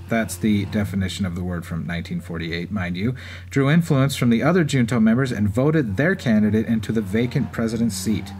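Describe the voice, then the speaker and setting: Guy hosting a podcast, reading a script, medium pitch, bit of racetrack noise behind him. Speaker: podcast host. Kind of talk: scripted narration. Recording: clear background ambience noise. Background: racetrack event ambience.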